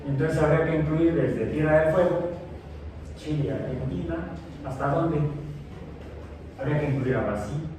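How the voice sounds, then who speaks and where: A man's voice: on the high side, normal speed, pauses often. A man giving a digressive science lecture in a classroom, full of fillers and restarts. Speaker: science teacher. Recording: echoey room, distant mic, noisy.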